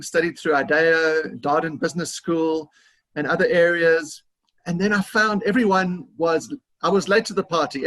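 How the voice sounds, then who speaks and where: Upbeat South African man, higher voice. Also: fairly bright tone. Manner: enthusiastic, talkative, with fillers and laughs. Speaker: guest consultant. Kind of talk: interview over video call.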